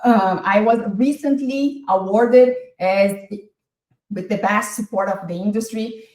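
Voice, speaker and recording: A woman's voice: mid-range voice, a little slow, a little mellow. Woman, middle-aged, Brazilian accent, Portuguese native, enthusiastic talkative presenter, echoey room. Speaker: masterclass presenter. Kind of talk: online masterclass presentation.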